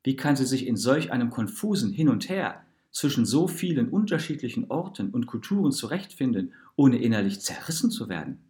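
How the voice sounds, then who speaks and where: A man reading a literary, essayistic story as a narrator, in an expressive and deliberate manner. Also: medium pitch.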